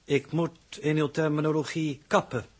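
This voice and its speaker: Husky, formal, unflappable spaceship advisor. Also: male voice, a little high, steady tone. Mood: dryly courteous. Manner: formal, measured, stilted. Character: courteous, unflappable male advisor, formal and precise. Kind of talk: 1960s Dutch radio drama acting. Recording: 1960s radio drama recording.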